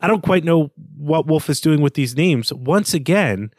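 A man's voice: a little high, expressive, moderate pace, darkish tone, no echo, clean studio sound. A man co-hosting a literary podcast, reading a passage aloud and then thinking through the names in it. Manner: reads aloud from the novel, then muses over names. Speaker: podcast co-host.

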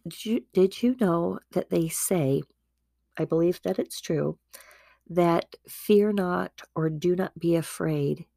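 A woman with a low, gravelly, mellow voice talks about faith like a casual podcast host, earnest and full of asides. She sounds a little stuffy from a starting cold. The recording is dry and close. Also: no echo. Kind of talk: casual devotional talk.

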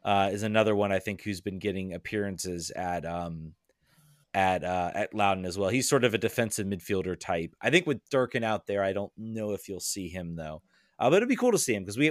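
Low smooth-voiced man chatting. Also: dry recording, clean studio sound. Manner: hesitant, lots of ums and repeated words. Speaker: podcast guest. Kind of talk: casual podcast chat.

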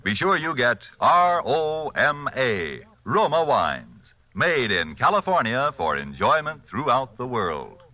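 Male vintage radio ad announcer: polished, emphatic, swooping intonation, bright projection. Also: mid-range voice, big pitch swings, slow pace, few pauses, dull audio. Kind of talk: 1940s radio commercial read. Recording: vintage 1940s radio broadcast.

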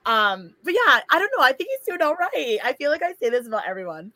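A woman's voice: sits high, sing-song, fast, pushed and bright, dry recording. Easygoing woman, dry close sound. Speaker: podcast guest. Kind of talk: casual chat.

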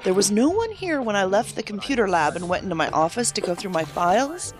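A woman's voice: medium pitch, very animated, quick pace, faint music behind, close to an everyday voice. An actress playing a wry, stubborn female field agent in an audio drama, with a dry, teasing manner. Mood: dry, businesslike.

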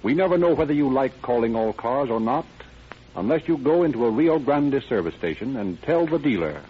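A man's voice: mid-range voice, slight background noise. A man with an American accent narrating and announcing a 1930s radio show in a polished, dramatic delivery. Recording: dull 1930s radio recording, music behind.

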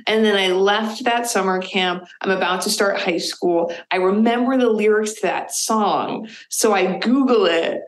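A woman's voice: mid-range voice, a little slow, hardly any pauses. A woman from the Boston area, a comedian guest chatting casually in an interview, telling stories with lots of "like"s. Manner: chatty storyteller, many "like"s. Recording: roomy echo.

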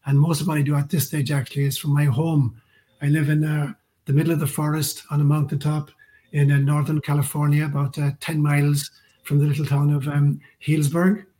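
A man's voice: a little high, dark tone, low-quality recording. An older man with an Irish accent, telling his story conversationally as an interview guest. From County Cork, Ireland. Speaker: guest author and priest.

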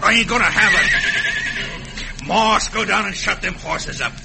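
A noisy vintage radio recording of a greedy villain. His drawling voice sits high for a man, and he blusters in rough, folksy grammar with a short temper. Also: takes it slowly, full character voice. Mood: furious. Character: greedy, hot-tempered western outlaw boatman. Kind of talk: 1950s western radio drama acting.

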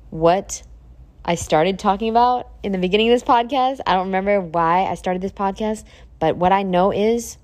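Blunt, profane podcasting woman in her thirties. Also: a little mellow. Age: 30s. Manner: blunt, profane, preachy, swings into high emphatic peaks. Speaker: podcast host. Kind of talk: casual monologue.

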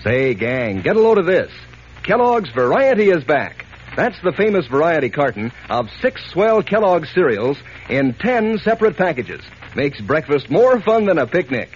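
A man's voice: sing-song, normal speed. American guy doing old-timey radio ad for kids, folksy n enthusiastic, muffled with light hiss. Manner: folksy, enthusiastic sales pitch. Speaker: radio announcer. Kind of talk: 1940s radio ad copy and announcing for children. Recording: dull old radio recording, faint noise.

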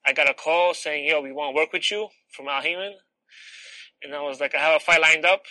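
A man from Poland, a professional boxer, giving earnest, plainspoken, halting interview answers. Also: fairly high pitch, pushed and bright, no echo, rough recording.

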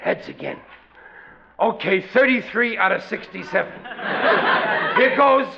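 A muffled, noisy vintage radio recording of a high-pitched, blustering American father. He is middle-aged, scheming and folksy, with hammy situation-comedy delivery and rough grammar. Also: lively intonation, unhurried, exaggerated voice. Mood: wheedling.

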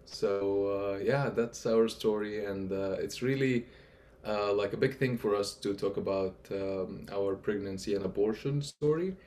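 A man's voice: on the low side, a little slow, a little mellow. A man, a guest talking hesitantly with many fillers. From Egypt. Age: 30s. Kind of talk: casual interview talk.

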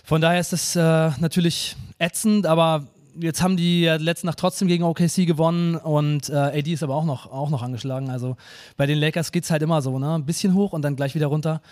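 A man's voice: a little high, fairly even intonation, fast, a little mellow. A man talking sports casually and in slangy, colloquial words as a guest on a live podcast. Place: live stage show.

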